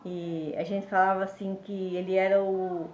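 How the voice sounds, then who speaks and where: A woman's voice: mid-range voice, flat delivery, normal speed, a little mellow, echoey room, a little hiss or hum, poor audio. An older woman from Brazil telling family memories in a rambling, casual way, full of fillers and restarts, and a bit congested. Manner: rambling, with many fillers and self-corrections. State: congested, a bit under the weather. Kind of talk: casual storytelling.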